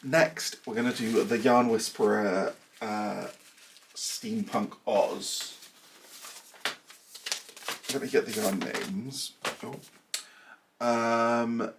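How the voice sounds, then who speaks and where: A man's voice: mid-range voice, gravelly, a little hiss or hum. A man from southern England with a southern English accent, chatting casually to camera in a vlog at home, rambling with self-deprecating asides and sounding a little nasal and bunged up. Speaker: knitting vlogger. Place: small cluttered room at home, indoors. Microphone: camera mic.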